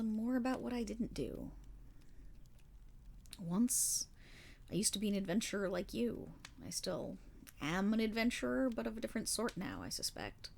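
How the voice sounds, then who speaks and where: A woman playing a kindly, weary and remorseful paladin in a fantasy roleplay audio, speaking formally in measured, gentle storytelling with dramatic pauses. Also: on the low side, creaky, a little hiss or hum. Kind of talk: fantasy roleplay audio monologue addressed to the listener.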